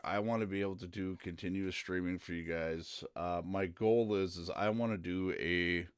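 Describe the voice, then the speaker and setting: Male streamer, low smooth voice, easygoing and rambling. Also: dry recording, clean studio sound. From central Minnesota. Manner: rambling and easygoing, with frequent "uh" fillers. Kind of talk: casual live-stream chat.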